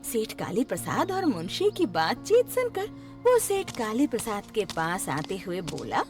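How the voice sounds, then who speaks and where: Very animated woman storyteller. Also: mid-range voice, unhurried, faint music behind. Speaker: narrator. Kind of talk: scripted cartoon story narration.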